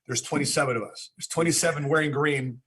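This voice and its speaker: A man with an American accent hosts in a casual podcast style. His voice sits a little high and has a husky, rough edge, and he often repeats a phrase to stress it. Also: fast talker, fairly bright tone, rough recording. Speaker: podcast host.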